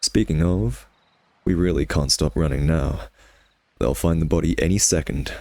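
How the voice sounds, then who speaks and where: A man's voice: very deep voice, normal speed, dark tone, no room sound. A man playing a cocky, sardonic vampire nemesis who teases and taunts the listener in an intimate ASMR roleplay. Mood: exhilarated, teasing.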